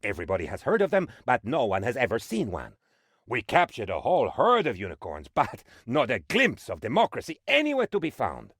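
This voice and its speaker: Animated, bumbling dictator's aide. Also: male voice, medium pitch, a little fast, slightly rough, no room sound, studio quality, full character voice. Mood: gleeful, smug. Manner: theatrical, excitable, mock-official delivery. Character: eager, bumbling, cheerfully shameless male aide to a dictator. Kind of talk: comic video game voice acting, reporting to his leader.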